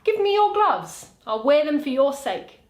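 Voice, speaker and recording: A woman's voice: high-pitched, big pitch swings. A woman reading the part of a poised, clever lady disguised as a lawyer in formal Shakespearean verse. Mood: playfully insistent. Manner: measured, formal, archaic verse delivery. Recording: roomy, echoey indoor recording.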